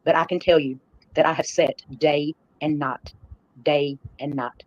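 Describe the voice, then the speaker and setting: A woman hosting a livestream, addressing her viewers in an earnest, halting way. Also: sits low, steady tone, darkish tone. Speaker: live stream host. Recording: dull, lo-fi livestream playback.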